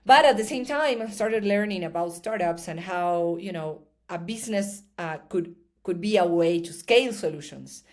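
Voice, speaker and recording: A woman's voice: lowish voice, normal speed, darkish tone. A woman, a startup founder answering interview questions thoughtfully, with many fillers and restarts. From Argentina.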